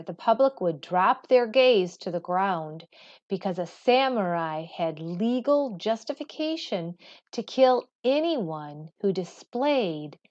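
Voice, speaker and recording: A woman reading educational material aloud like a teacher, with deliberate, careful delivery. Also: mid-range voice, a little mellow. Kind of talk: read-aloud educational narration. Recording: slightly dull, lo-fi sound.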